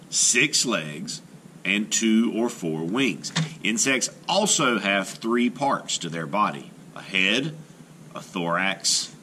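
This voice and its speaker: Man slowly reading a kids' book. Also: on the low side, sharp tone, slight background noise. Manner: slow, careful reading with rising emphasis. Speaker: art teacher reading aloud. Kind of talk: children's picture book read-aloud. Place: indoors at a table, camera over the book.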